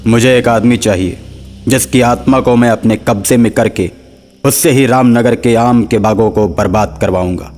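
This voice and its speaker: A man voicing a scheming, demanding village sorcerer in an animated horror story. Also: mid-range voice, moderate pace, faint music behind, lightly acted.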